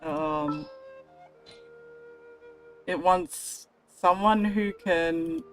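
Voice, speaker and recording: Woman streaming a game, chatting to herself and chat, kinda slow paced, bit of music behind. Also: on the low side, very animated, rough recording. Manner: chatty, talks to herself and to viewers. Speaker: livestreamer playing a game. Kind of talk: casual gaming livestream commentary. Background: video game music.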